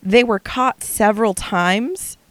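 A woman's voice: mid-range voice, slow, a little hiss or hum. A young adult woman co-hosting a casual true-crime podcast, recounting a case with chatty asides. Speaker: podcast co-host.

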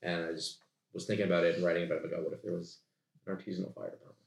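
A man's voice: sits low, little pitch movement, rapid-fire, creaky. A man speaking casually as a comedy writer and podcast guest. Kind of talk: casual chat. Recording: roomy echo, indoor room.

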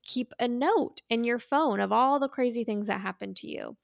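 A woman's voice: a little high, moderate pace, creaky, dry recording, studio quality. A woman co-hosting a podcast in casual chat, speaking in a playful, informal way. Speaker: podcast co-host.

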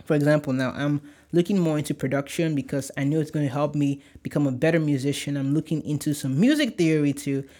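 A man's voice: on the high side, fast talker, hardly any pauses, mellow. A young man from Nigeria with a light Nigerian accent, hosting a casual solo podcast in an upbeat, chatty, rambling way. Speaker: podcast host.

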